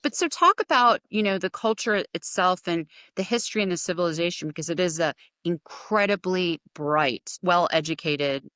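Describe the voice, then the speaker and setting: A woman's voice: lowish voice, normal speed, hardly any pauses, fairly bright tone, dry recording. A woman hosting a podcast interview, asking enthusiastic, rambling questions. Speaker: podcast host. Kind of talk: conversational interview.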